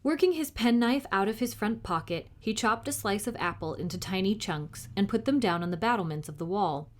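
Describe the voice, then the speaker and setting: A woman narrating a children's book aloud as a storytime reader, in an expressive style. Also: medium pitch, brisk.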